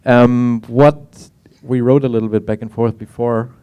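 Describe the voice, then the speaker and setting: A man moderating a panel, asking casual questions in an informal, halting way, often rephrasing as he goes. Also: medium pitch, steady tone, smooth, lo-fi sound.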